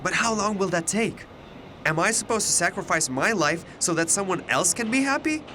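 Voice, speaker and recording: A man's voice: high-pitched, lively intonation, moderate pace, bright, forceful tone, slight background noise. An actor in a radio drama playing an anxious but defiant young male university student, questioning with earnest emotion. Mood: challenging. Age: young adult.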